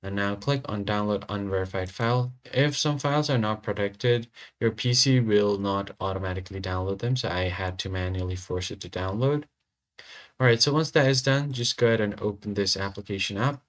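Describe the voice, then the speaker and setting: A man narrating a step-by-step software tutorial in an informal, instructional way. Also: fairly low pitch, brisk. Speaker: tutorial host.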